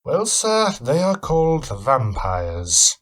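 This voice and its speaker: A man's voice: mid-range voice, wildly swooping pitch, slow pace, a bit bright, pitched above the performer's own voice, full character voice. A man voicing a weary, haunted male vampire hunter who talks in an earnest, formal, intense way. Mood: grave.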